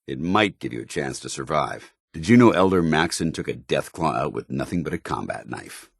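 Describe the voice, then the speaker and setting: An actor playing a stern, zealous soldier companion in a video game, with an American accent and a formal, earnest military delivery. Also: male voice, rumbling low, very animated, moderate pace, vocal fry, breathy edge, no room sound, clean studio sound, exaggerated voice. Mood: grim.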